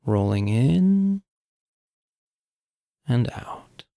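Male meditation coach, calm and measured, dry close studio sound, lots of vocal fry. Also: mid-range voice, dry recording. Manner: calm, measured, long pauses between phrases. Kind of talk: guided meditation, slow soothing instruction.

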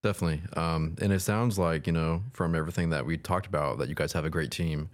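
Male interviewer, very low voice, friendly and agreeable. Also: steady tone, fast. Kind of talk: casual interview.

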